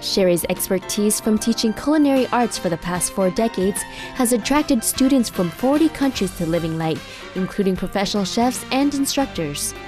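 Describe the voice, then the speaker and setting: A woman reading scripted documentary narration in a measured, formal way. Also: medium pitch, animated, music in the background.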